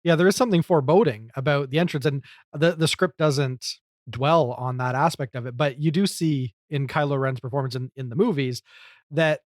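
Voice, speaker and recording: A man's voice: mid-range voice, moderate pace, no room sound, clean studio sound. A man hosting a podcast in casual discussion, reflective and articulate, with an encouraging way of responding. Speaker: podcast host.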